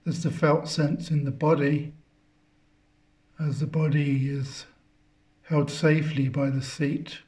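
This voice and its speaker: A man guides a meditation at a very slow pace, with a soft-edged, mellow tone. His delivery stays even and contemplative, in short halting phrases separated by long pauses. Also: on the high side. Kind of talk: guided meditation, spiritual talk.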